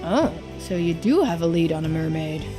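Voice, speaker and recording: A woman voicing a courteous, knowing game character with a formal, measured way of talking. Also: fairly low pitch, lively intonation, music in the background, pitched below the performer's own voice, close to an everyday voice. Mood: intrigued, cautioning. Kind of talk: voiced game dialogue.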